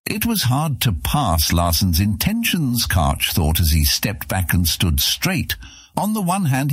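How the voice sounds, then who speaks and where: A man's voice: low voice, slightly rough, dry recording. A man narrating a fantasy audiobook in a dramatic, scripted storytelling style.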